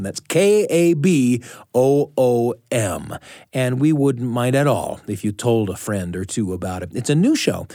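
A man's voice: mid-range voice, a little mellow, no echo. A man hosting a podcast, announcing from a script in a friendly style on a close studio mic. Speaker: podcast host.

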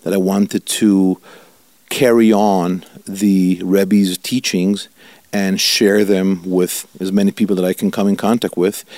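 Italian-born male rabbi, fifties, earnest and unhurried, low and warm, dry close sound. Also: no room sound. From Italy. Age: 50s. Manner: earnest, measured, stretches key words slowly. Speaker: rabbi, guest. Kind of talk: reflective interview answers.

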